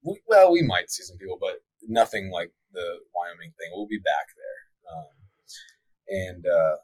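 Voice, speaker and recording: A man's voice: sits low, lively intonation, a little slow, darkish tone, breathy edge, no room sound, poor audio. A young man who hosts a hunting show, chatting casually on a podcast with lots of likes and ums. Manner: laid-back, many likes and ums, faith talk.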